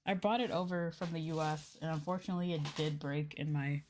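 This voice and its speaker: A soft-spoken woman chatting casually and playfully with viewers as the host of an ASMR livestream. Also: low voice, steady tone, brisk, slight background noise. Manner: soft-spoken, playful, drifting into close-mic whispers. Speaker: VTuber streamer and host.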